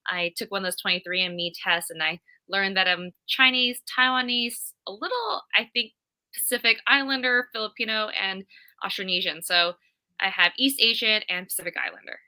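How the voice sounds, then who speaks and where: A woman with a Californian accent speaks as an interview guest. Her voice is strikingly bright and forward, at a medium pitch, and she talks in a casual, conversational style. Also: moderate pace. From California, United States. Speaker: interview guest, creative director.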